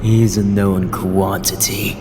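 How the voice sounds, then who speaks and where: An actor playing a measured, confident male conspirator in a fantasy audio drama. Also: lowish voice, little pitch movement, noisy background. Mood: calm, scheming. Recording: long cavernous reverb, music underneath.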